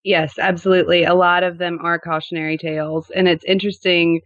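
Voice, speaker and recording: A man talks as a podcast guest in a measured, articulate way. The recording is dry and somewhat dull and lo-fi. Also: a little high, little pitch movement, fairly bright tone, dry recording. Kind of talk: explanatory interview talk. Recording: dull, lo-fi remote sound.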